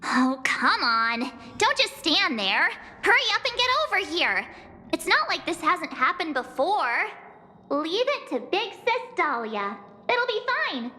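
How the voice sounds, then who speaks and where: A woman playing a perky, excitable young girl in a video game, chatty, exclamatory and teasing. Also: extremely high pitch, unhurried, in a large hall, exaggerated voice. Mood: urging.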